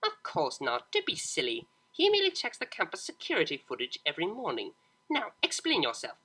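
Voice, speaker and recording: A woman's voice: fairly low pitch, wildly swooping pitch, a little fast, a bit bright, husky, heavily acted. A woman voicing a domineering older pony mare, a stern mother with a scornful, condescending manner.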